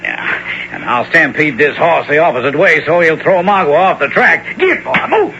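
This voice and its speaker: Frontier scout, folksy and protective, fairly high voice with animated delivery, vintage radio adventure. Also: male voice, moderate pace. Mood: urgent. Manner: folksy drawling frontier talk. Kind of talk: old-time radio drama acting. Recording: muffled vintage radio recording, heavy noise.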